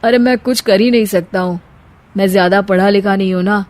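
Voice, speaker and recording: A woman's voice: medium pitch, fairly even intonation, fairly quick, a little mellow, close to an everyday voice. Meek, earnest young husband acted by a woman. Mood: sheepish. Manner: humble, self-deprecating.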